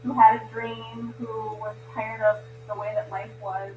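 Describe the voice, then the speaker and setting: A roomy, noisy recording carries a woman's fairly high voice as she leads an informal training. She talks in a plain-spoken, encouraging way, like a trainer walking newcomers through the basics. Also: flat delivery, normal speed, a little mellow. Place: room with a group present. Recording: roomy, distant mic, clear background noise.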